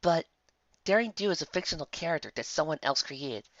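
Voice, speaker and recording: A performer voicing an incredulous, easily agitated female unicorn pony with an emphatic, halting delivery in a story reading. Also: medium pitch, animated, no room sound, pitched above the performer's own voice, natural voice. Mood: puzzled. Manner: emphatic, halting when flustered. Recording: slightly dull home recording.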